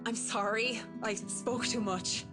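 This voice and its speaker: An actress plays a polite, enthusiastic woman who leads a restoration team in a visual novel. Her voice sits fairly high and she talks in a chatty, courteous way. Guitar music plays clearly behind her. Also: steady tone, takes it slowly. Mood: polite, modest.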